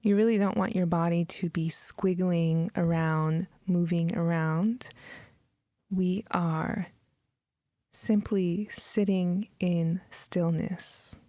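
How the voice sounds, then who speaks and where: Slow, soothing-paced woman guiding meditation. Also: on the low side, fairly even intonation, dark tone, no room sound. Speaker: meditation guide. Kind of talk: guided meditation, scripted narration.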